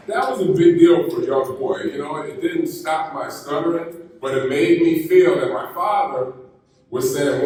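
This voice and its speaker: A man giving a graduation keynote, telling stories in a slow, deliberate way. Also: medium pitch. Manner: storytelling, slow deliberate delivery. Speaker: keynote speaker. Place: university ceremony hall. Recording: echoey hall, mic heard from a distance.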